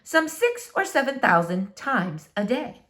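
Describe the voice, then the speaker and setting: Animated woman reading a children's story. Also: a little high, measured pace. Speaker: storybook reader. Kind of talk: expressive read-aloud narration for children.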